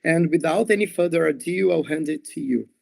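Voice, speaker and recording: Host, man, highish gravelly voice, dry sound. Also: dry recording. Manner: reads the introduction with pauses and fillers. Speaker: seminar host introducing the guest. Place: video call.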